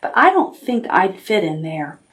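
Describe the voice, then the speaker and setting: A woman's voice: mid-range voice, sing-song, unhurried, fairly bright tone, pitched below the performer's own voice. Woman reading as a friendly, agreeable storybook bear. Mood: doubtful.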